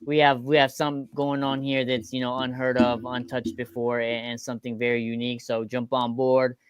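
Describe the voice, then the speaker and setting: A man's voice: medium pitch, flat delivery, a little fast, crowd noise. A man speaking as a show guest, casually thanking fans and promoting his business.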